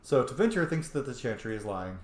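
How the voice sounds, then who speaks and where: A man's voice: medium pitch, sing-song, a little fast, reverberant, slight background noise. A man hosting a casual game playthrough, chatting and reacting aloud. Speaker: gaming host.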